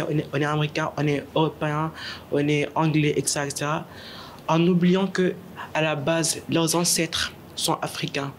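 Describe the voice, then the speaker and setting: A young man from Senegal makes his point in a class book discussion. He speaks earnestly and repeats words as he searches for the next one. His voice sits a little high, over a faint background hiss.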